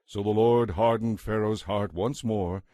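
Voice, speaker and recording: A man narrating scripture from a script as a solemn, measured storyteller. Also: on the low side, fairly even intonation, mellow, no room sound, studio quality.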